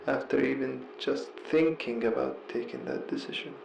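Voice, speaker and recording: A man giving a heartfelt, confessional voicemail monologue, earnest and reflective, halting as he repeats words and pauses mid-sentence. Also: medium pitch, gravelly, background noise. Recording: roomy echo, music underneath. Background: music.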